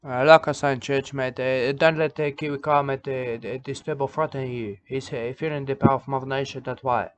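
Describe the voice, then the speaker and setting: A man playing an odd male preacher of a nudist church, who talks in halting broken English and keeps repeating himself. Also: medium pitch, steady tone, smooth, no echo, lightly acted. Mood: rambling. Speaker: self-styled church leader. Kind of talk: rambling comic monologue. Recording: dull lo-fi recording.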